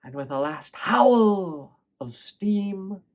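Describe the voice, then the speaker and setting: Slow-paced, expressive male storyteller. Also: high voice. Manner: expressive, dramatic pauses and emphasis. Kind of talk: performed fairy-tale narration.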